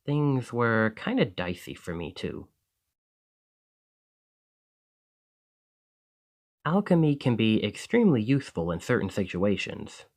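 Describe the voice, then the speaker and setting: A man playing a friendly, excitable village alchemist in an ASMR roleplay, chatting eagerly to the listener in a slightly flustered, rambling way. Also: mid-range voice, natural voice. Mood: friendly, conversational.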